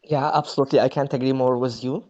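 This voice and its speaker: A man from Egypt with an Egyptian accent and Arabic as his first language, earnestly practising spoken English in an online class, pausing for words. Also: mid-range voice, little pitch movement, a little mellow. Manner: earnest, searching for words with fillers. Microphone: video call. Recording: lo-fi video call audio.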